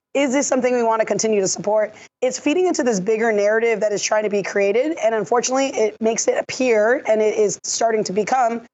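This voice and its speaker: A woman who has lost her voice, explaining refereeing decisions in a measured, technical way on a podcast. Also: medium pitch, a little mellow, lo-fi sound. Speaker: refereeing analyst. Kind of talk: podcast discussion.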